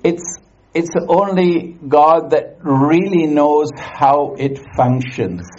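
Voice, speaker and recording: A man's voice: a little high, a little mellow, highs cut off, slight background noise. A man preaching in English in short phrases for an interpreter, with hesitant fillers and restarts. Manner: halting, many fillers and restarts. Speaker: guest preacher.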